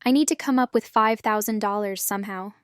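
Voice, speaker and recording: A woman reading English example sentences slowly and clearly for a language lesson. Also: medium pitch, no echo, clean studio sound.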